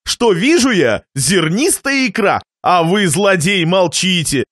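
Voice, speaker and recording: A man's voice: high voice, sing-song, measured pace, a bit bright, no room sound, clean studio sound, full character voice. A man voicing a jovial, gluttonous guest in a satirical story, pompous and shameless, savouring and lecturing expansively. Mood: delighted, greedy. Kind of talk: dramatised reading of a satirical short story.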